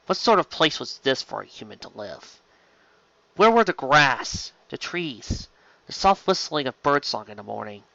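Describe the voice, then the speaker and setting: A man's voice: mid-range voice, big pitch swings, a little slow, vocal fry, bright, forceful tone, no echo, dull audio. A man reading science fiction aloud as dramatic scripted narration. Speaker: reader of fan fiction.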